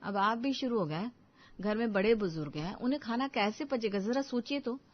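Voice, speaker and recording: Woman acting a stubborn, high-pitched scolding mother. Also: moderate pace, highs cut off, lightly acted. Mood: defensive. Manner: argumentative, insistent. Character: stubborn scolding young mother and dutiful housewife.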